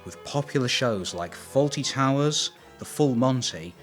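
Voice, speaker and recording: A man's voice: medium pitch, expressive, measured pace, fairly bright tone. A man reading scripted documentary narration, with wry asides. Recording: voice over background music.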